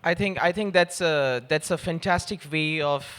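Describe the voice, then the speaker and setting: A man's voice: a little high, normal speed, fairly bright tone. A man moderates a live panel in hesitant, wordy academic phrasing.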